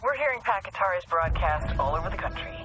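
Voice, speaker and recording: An actress playing a brisk, authoritative, matter-of-fact intelligence handler giving orders in a video game. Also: female voice, fairly low pitch, expressive, lightly acted, rough recording. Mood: businesslike. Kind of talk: video game mission briefing. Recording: music behind.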